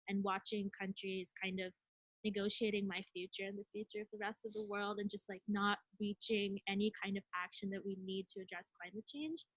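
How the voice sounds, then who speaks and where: A woman's voice: mid-range voice, dry recording, poor audio. A woman in her twenties from India, introducing herself as a delegation co-leader in an earnest, conversational webinar talk with frequent fillers.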